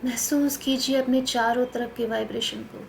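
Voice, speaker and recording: An Indian woman leading a guided meditation in a calm, soothing, instructional manner with spaced phrases. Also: on the high side, normal speed, vocal fry. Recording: noticeable background noise.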